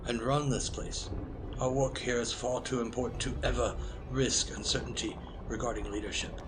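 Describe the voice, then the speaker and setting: An actor playing an ancient, grave wizard leader in an audio drama, weary and proud, speaking in formal, ceremonious phrases. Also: male voice, mid-range voice, fairly even intonation, moderate pace, music in the background, natural voice. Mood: solemn pride. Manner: formal, ceremonious, stately speech. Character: ancient Merlin, grave male founder and commander, weary, proud.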